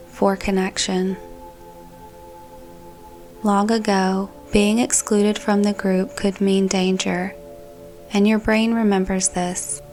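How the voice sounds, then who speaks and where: Woman guiding meditation over music. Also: mid-range voice. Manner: calm, gentle, soothing delivery. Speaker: meditation guide. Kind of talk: guided meditation narration, scripted. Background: background music.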